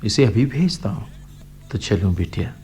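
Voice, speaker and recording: An actor playing a kindly, earnest older man in a radio play, gentle and fatherly in manner. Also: lowish voice, expressive, normal speed, breathy edge. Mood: affectionate. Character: kindly, earnest elderly postman uncle. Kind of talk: Hindi radio play acting.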